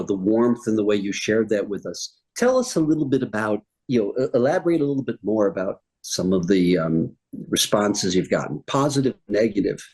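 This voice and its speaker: A man's voice: lowish voice, darkish tone, breathy edge, low-quality recording. A middle-aged American man hosting a live briefing, asking long rambling questions full of fillers. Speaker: briefing host.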